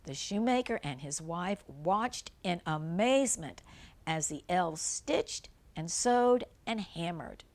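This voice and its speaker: An older woman reads a children's story aloud at an unhurried pace, with lively rises and falls in pitch. She speaks as a grandmother would to a small child, stopping to explain things simply. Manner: simple explanations, gentle questions to a young listener. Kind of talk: read-aloud children's storybook with chatty asides.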